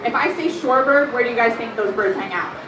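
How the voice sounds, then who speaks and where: Woman educator, high-ish voice, loud and chatty talk to a crowd, heard from far off in an echoey room. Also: quick pace. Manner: chatty, asks the crowd questions, many ums; says she has a loud voice. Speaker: bird conservation educator. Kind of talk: public talk to a live audience. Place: room at an aquarium. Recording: echoey room, far from the mic, background noise.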